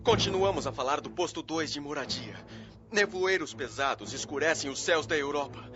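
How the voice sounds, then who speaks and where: An actor playing a solemn, anxious radio announcer from a spirit colony, making a grave, oratorical plea in a radio drama. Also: male voice, fairly high pitch, expressive, normal speed, close to an everyday voice. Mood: solemn, pleading. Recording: muffled radio drama, clear music behind.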